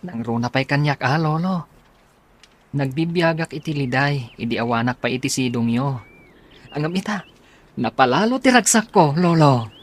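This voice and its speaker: An actor playing an affectionate, cheerful young graduate in a radio drama, speaking eagerly and warmly. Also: male voice, medium pitch, expressive, moderate pace, lightly acted. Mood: grateful. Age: young adult.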